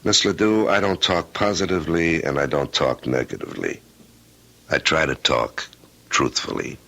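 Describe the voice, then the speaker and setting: Gravelly, low-voiced private detective. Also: male voice, normal speed. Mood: measured, frank. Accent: American. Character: blunt, cautious, truthful male private detective. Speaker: actor. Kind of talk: radio drama acting.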